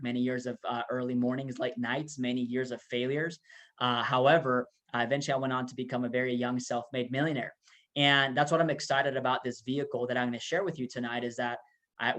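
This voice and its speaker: A man from Peru gives a relaxed sales presentation at a middle pitch for a man. He talks casually, with lots of "uh" and "you know". He tells stories as he builds toward the pitch. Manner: casual, many fillers, motivational storytelling. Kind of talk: business opportunity presentation.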